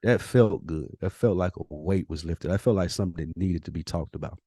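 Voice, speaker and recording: A man with a low American voice talks as a podcast host, loose and slangy. He sounds appreciative and conversational, and the recording is close and dry. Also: fairly quick, warm tone, slightly rough, no echo. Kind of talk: casual conversational podcast talk.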